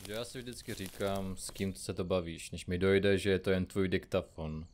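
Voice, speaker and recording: A man plays a stoic, grumbling male detective in a comedy radio play. His voice is low and his delivery is dry and deadpan, that of a confirmed grouch. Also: a little hiss or hum, lightly acted. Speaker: detective in a comedy mystery podcast. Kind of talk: audio drama acting.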